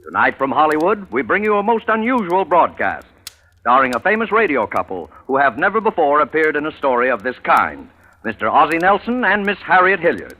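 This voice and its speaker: A man's voice: a little high, sing-song, fairly quick, narrow, tinny audio. A man introducing a radio thriller as announcer, dramatic and declamatory, on a thin old broadcast recording. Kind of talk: show introduction.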